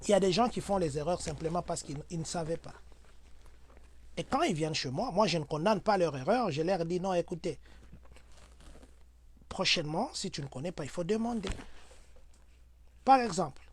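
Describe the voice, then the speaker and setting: A man talks through everyday advice for his community. He is earnest and preachy, and his voice jumps to loud exclamations when he makes a point. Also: high voice, animated, normal speed, pauses often, slight background noise. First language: Swahili. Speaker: community advisor.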